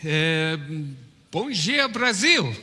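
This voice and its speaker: Male guest speaker, bright forceful voice, pausing for translation, in a noisy echoing church hall. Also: sits high, very animated. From Canada. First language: English. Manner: halting, short phrases paused for translation. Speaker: visiting mission speaker. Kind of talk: casual greeting to congregation through interpreter. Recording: echoey hall with clear background noise.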